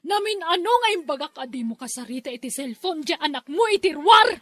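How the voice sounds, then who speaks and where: A woman playing a sharp-tongued, jealous, domineering wife and stepmother in an Ilocano radio drama, scolding and curt. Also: sits high, lively intonation, fairly quick, no echo. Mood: angry, accusing.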